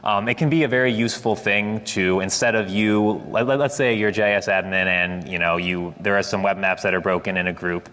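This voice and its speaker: A man with a loud voice giving a casual, energetic technical software demo at a conference. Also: lowish voice, fairly quick, hardly any pauses, big-room sound. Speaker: conference presenter. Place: large conference hall.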